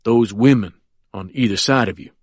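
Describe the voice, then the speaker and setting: A man voicing a suave, confident older male lawyer who talks in an unhurried, smooth, teasing way. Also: fairly low pitch, dry recording, clean studio sound, natural voice.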